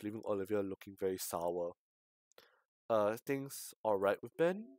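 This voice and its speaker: A man live-streaming a visual novel, reading it aloud with slangy commentary and frequent stumbles over the text. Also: lowish voice. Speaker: game streamer.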